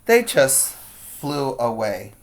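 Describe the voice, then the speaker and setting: Preschool teacher for a read-aloud: slow pace, emphatic storytelling, explanatory asides to small children. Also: mid-range voice, big pitch swings.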